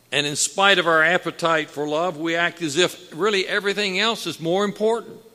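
A booming male preacher delivers his sermon slowly, leaning hard on each phrase. His tone is bright and forceful, and his words ring out with a long hall reverb behind them. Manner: slow, emphatic preaching that builds in force.

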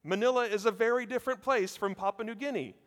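A man in his thirties from the United States preaching a sermon at a seminary chapel, with a loud, projecting voice and a conversational manner, speaking directly to his listeners. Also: high voice, fast talker. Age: 30s. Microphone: handheld or lapel mic. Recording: hall reverb.

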